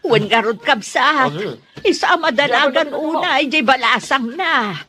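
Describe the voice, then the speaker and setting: A woman's voice: high voice, animated, a little slow, bright, forceful tone, heavily acted. A woman playing an earnest member of a forest search party in an Ilocano-accented radio drama. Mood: concerned.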